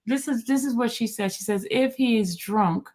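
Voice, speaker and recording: A woman hosting a casual livestream chat, reading viewer comments aloud in an animated way. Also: a little high, moderate pace. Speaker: livestream host.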